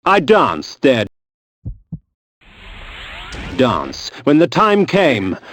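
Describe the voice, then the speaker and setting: A man's voice: mid-range voice, huge pitch swings, slow pace, a bit bright, a little hiss or hum, full character voice. Brooding vampire nobleman, theatrical swoops. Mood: grim. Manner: theatrical, grandiose, disdainful. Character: brooding, sardonic vampire nobleman narrating his hunt. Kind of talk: dramatic scripted game narration.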